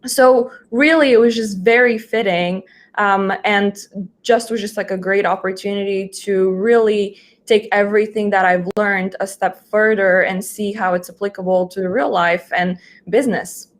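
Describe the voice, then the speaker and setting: A woman's voice: medium pitch, fairly even intonation, moderate pace. Young woman, conversational guest speaker. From Bulgaria. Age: 20s. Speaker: guest, junior research analyst. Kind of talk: conversational account of own work.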